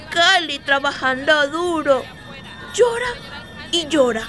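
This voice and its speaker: Little girl played by a woman, radio play. High, very unhurried, innocent and wondering, simple repetitive storytelling. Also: fairly bright tone, slight background noise, exaggerated voice. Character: eight-year-old displaced girl, innocent, wondering storyteller. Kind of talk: radio drama monologue.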